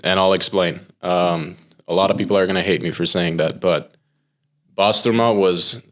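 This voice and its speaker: Young American man with a very low voice, relaxed and explanatory. He talks casually as a guest and fills his speech with "like" and "um.". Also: moderate pace. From United States. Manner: relaxed, explanatory, with filler words and laughs. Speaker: chef and pitmaster, podcast guest. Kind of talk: casual interview talk.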